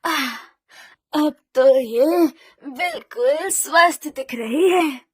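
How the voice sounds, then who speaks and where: A woman voicing a poor, kindhearted old grandmother in a children's story, speaking slowly and with feeling. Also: high-pitched. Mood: surprised, curious. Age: older. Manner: slow, emotional, drawn-out delivery. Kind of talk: acted children's moral story. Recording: music under the voice.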